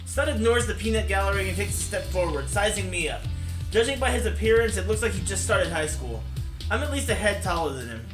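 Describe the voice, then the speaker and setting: A man's voice: sits high, lively intonation, fairly quick. A man hosting a let's play, reading a visual novel aloud with casual commentary. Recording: roomy echo, game music behind.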